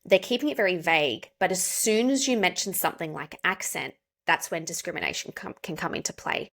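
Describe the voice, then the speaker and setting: An Australian woman, a pronunciation coach, explaining clearly and steadily as a podcast guest. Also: fairly low pitch, animated, a bit bright. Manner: explanatory, measured. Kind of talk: podcast interview.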